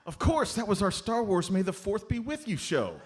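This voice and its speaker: A man's voice: high voice, big pitch swings, moderate pace, heavy background noise. A man playing a naive, boyish comic sidekick with an earnest, plaintive way of talking in a live radio play. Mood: puzzled.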